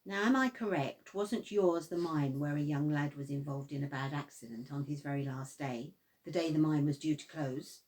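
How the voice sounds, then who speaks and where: An actress playing a polite, slightly awkward careers interviewer in a radio play, probing in corporate phrases. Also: female voice, low-pitched, moderate pace, darkish tone, lightly acted. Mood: curious, tentative. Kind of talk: radio drama acting.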